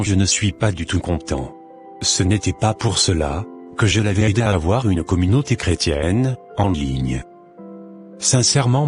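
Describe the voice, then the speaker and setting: Guy playing a righteous earnest church brother in a cartoon, really low voice, music under it. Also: a little mellow. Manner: solemn, indignant. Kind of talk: amateur cartoon monologue and dialogue. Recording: music behind the voice.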